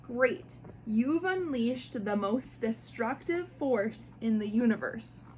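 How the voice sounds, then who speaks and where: A woman with a high voice reads a children's picture book aloud. Her delivery is expressive and playful, aimed straight at young listeners. There is faint noise behind her. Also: takes it slowly, poor audio. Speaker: mother reading picture books aloud to children. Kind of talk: animated storybook read-aloud.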